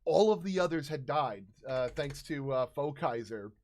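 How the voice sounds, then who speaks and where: A man in his thirties casually recounting tabletop game stories as a host, halting and searching for words. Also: mid-range voice, lively intonation, darkish tone. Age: 30s.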